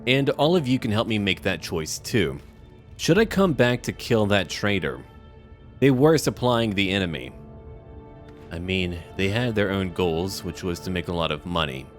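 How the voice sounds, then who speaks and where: A man doing casual video game commentary, thinking aloud and talking to his viewers. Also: low voice.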